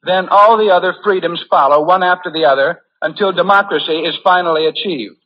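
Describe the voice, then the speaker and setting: A man's voice: high-pitched, a little fast. An American man delivering an earnest, formal prepared speech as a war correspondent in a 1940s radio address. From United States. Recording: muffled, lo-fi 1940s radio recording.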